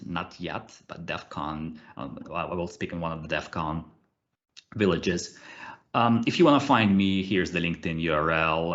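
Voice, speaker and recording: A man in his thirties with a Russian accent presents online, his low voice rising and falling in lively swings. He stumbles through fillers and restarts. The call audio sounds dull and muffled. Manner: hesitant, many fillers and restarts, animated. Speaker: conference speaker, ethical hacker. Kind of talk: webinar presentation with live demos. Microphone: computer mic on a video call.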